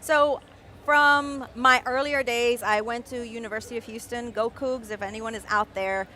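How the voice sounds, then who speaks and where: Bright-voiced woman from Caracas. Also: a little high, steady tone, moderate pace, a little hiss or hum. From Mexico City, raised in Caracas, Venezuela. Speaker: guest designer. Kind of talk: live-stream interview.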